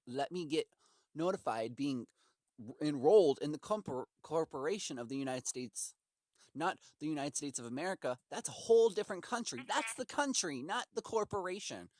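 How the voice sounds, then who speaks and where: A man on a call delivers a rambling, impassioned argument. His pitch moves around a lot as he stresses his points in an aggrieved, preaching manner. The sound is close and dry. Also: no echo. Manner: emphatic, aggrieved, preachy, acts out imagined quotes. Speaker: caller to customer service.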